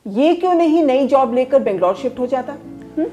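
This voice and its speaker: A woman's voice: high voice, lively intonation, normal speed, dark tone, faint music behind. An actress playing a teasing, motherly middle-aged mother who mixes in English phrases, in a home living room. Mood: firm. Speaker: groom's mother. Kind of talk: scripted short-film acting.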